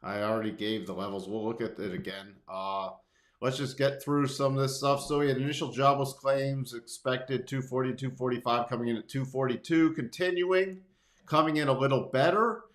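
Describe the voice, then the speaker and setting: A man's voice: mid-range voice, moderate pace, echoey room. A man hosting a casual live market commentary stream, conversational and repeating key phrases for emphasis. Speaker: livestream host, market analyst.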